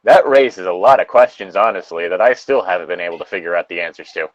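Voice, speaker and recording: A man talks casually as co-host of a read-aloud show, wandering into halting asides. His pitch moves around a lot. The sound is dry and a little dull, like a close mic on a voice call. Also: animated, no echo. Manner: rambling, halting, digressive. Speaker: co-host of a fan fiction reading show. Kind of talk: casual banter and commentary while reading aloud.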